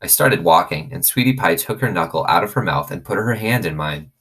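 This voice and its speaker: A man with a very low, husky voice reads a children's story aloud. His intonation is animated and expressive, in the way of a storyteller. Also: a little fast. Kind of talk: expressive reading of a children's novel.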